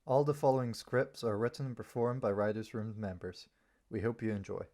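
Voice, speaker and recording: A man's voice: on the low side, brisk, dark tone. A man announcing an amateur table read session in a scripted introduction.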